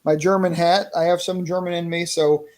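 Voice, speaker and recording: A man's voice: high-pitched, flat delivery, normal speed. A man talking casually as a guest on a podcast, enthusiastic and explanatory, often repeating his phrases. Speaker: interview guest, indie comic creator promoting a fighting game.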